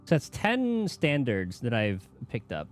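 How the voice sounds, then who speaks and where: A man's voice: medium pitch, wildly swooping pitch, measured pace, faint music behind. A man chatting casually on a game livestream, rambling and thinking aloud as he goes. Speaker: game streamer. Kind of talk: casual livestream chat while gaming.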